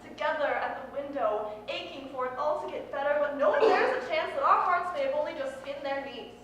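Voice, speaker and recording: A woman's voice: high-pitched, a little fast, a bit bright. A woman reciting spoken-word poetry in an earnest, impassioned and emphatic way. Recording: very echoey room, distant mic, faint noise.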